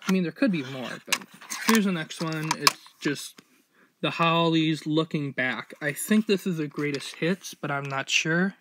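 A man's voice: fairly high pitch, measured pace. A young man giving a casual, unscripted show-and-tell as a vlogger, rambling and hesitant as he goes. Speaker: vlogger showing a collection. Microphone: handheld phone or camera mic. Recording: noisy, fuzzy handheld amateur recording.

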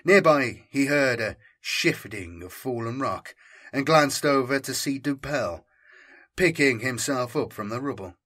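A British man reading dark science-fiction with a slow, weighty, dramatic delivery into a home studio microphone. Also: mid-range voice, animated. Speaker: audiobook narrator and channel host.

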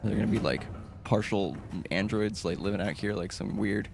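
A man with a gravelly voice doing casual gameplay commentary as a streamer. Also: fairly low pitch, moderate pace. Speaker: gaming streamer. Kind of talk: casual gameplay commentary, reading in-game text aloud.

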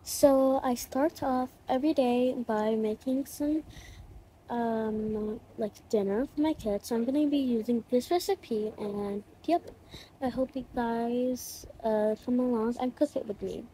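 A woman's voice: a little high, unhurried, warm tone, a little hiss or hum, rough recording. A woman casually narrating a role-play routine video in an informal, hesitant, chatty way.

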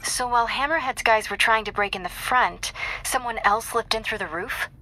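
An actress playing a young female investigative reporter in a video game, a sharp, helpful ally who talks in a conversational, matter-of-fact way. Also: a little high, moderate pace, background noise, natural voice. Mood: inquisitive. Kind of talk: naturalistic video game acting.

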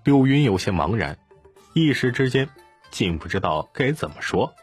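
A man's voice: medium pitch, sing-song, normal speed, breathy edge, faint music behind. A man narrating a scripted audiobook story.

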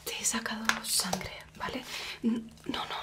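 A young woman from Spain with a Spanish accent, voicing a gentle, protective werewolf woman in an ASMR roleplay, whispering softly and coaxingly into a close mic. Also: fairly high pitch, fairly even intonation, natural voice. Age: young adult. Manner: soft reassuring whisper, slow and coaxing. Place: small indoor room dressed as a cabin.

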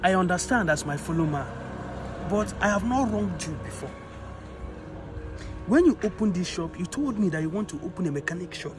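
A man's voice: sits high, animated, fairly quick, long pauses. A man with a Nigerian accent playing a generous, wronged customer, speaking emphatically and repeating himself in a skit. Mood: hurt, reproachful. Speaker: actor in an online skit. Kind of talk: improvised moral skit acting. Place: outdoors in an open car yard. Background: music, vehicles.

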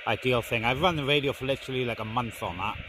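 A man's voice: mid-range voice, fast. A man giving casual, hands-on vlog commentary outdoors in a garden, speaking informally and hesitantly as he busies himself with gear. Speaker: amateur CB radio hobbyist filming a vlog. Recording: noisy outdoor phone-camera recording.

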